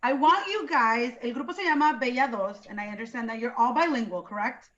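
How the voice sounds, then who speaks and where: A woman's voice: fairly high pitch, a little fast, a bit bright, highs cut off. A woman from Los Angeles hosting a casual interview, chatty and enthusiastic, moving between English and Spanish. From Los Angeles, USA.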